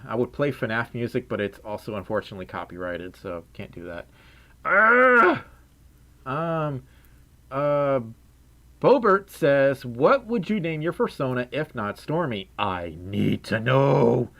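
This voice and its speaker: A man's voice: medium pitch, fairly bright tone. A young man with a scratchy voice, chatting playfully on a livestream, giggling and humming while he reads chat messages. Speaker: streamer. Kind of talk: casual livestream chat reading donations.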